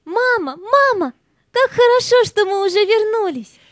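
A woman's voice: very high-pitched, animated, a little slow, no echo, studio quality. A woman playing a sweet, pleading little girl in an audio play. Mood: pleading, excited.

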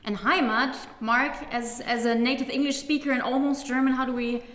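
A woman's voice: sits high, steady tone, normal speed, a bit bright. A woman giving explanatory commentary as a political analyst on a panel, emphatic and precise in how she explains. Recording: echoey, reverberant hall.

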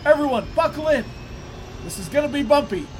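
A woman playing a brisk, anxious, commanding young starship pilot in a sci-fi audio drama. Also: sits high, expressive, noisy background. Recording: dramatic music bed over dialogue. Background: loud music.

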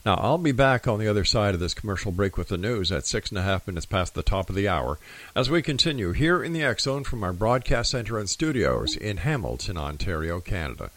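A man's voice: lowish voice, big pitch swings, a little fast, a bit bright. A man hosting a radio talk show, thanking his guest and signing off in a friendly announcer manner.

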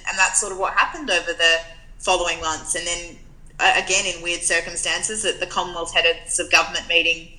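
Bright-voiced young woman. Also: lowish voice, poor audio. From Australia. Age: 20s. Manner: reflective, storytelling, frequent restarts. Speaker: guest. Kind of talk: conversational interview answers.

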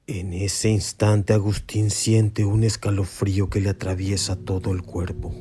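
A man's voice: lowish voice, little pitch movement, unhurried, noisy background. A man narrating a scripted horror radio story with dramatic suspense. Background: music and night insects.

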